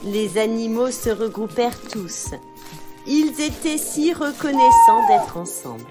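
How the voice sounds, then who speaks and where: A woman narrating a children's tale in an expressive, scripted storytelling style, phrasing clearly for young listeners. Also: on the high side, measured pace, loud music behind the voice.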